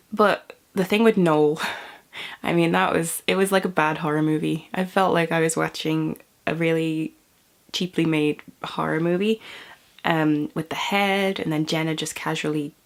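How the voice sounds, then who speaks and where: A woman's voice: low voice, fairly even intonation, moderate pace, vocal fry. A young British woman in her twenties chatting casually to camera over coffee, a bit stuffy from a cold, rambling and laughing often. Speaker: vlogger.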